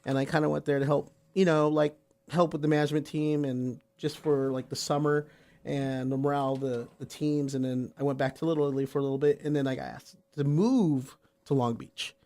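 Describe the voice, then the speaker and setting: Man from San Diego, brewery guest, eager promotional chat, fairly high voice, radio studio. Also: steady tone, a little fast, a little mellow. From San Diego, USA. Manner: eager, promotional, repeats words for emphasis. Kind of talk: casual radio interview.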